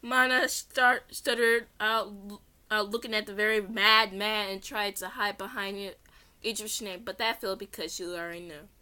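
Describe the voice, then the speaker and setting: A woman's voice: mid-range voice, fairly even intonation, normal speed, pushed and bright. A woman reading a story aloud as an amateur, giggly, often stumbling over words and correcting herself. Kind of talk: read-along narration with character voices.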